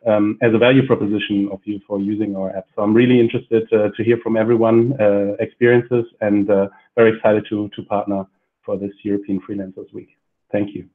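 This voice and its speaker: A man introducing himself on an online panel over a video call, steady and measured, with frequent "uh" fillers. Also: on the low side. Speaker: panelist, public policy lead. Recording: tinny phone-like call line.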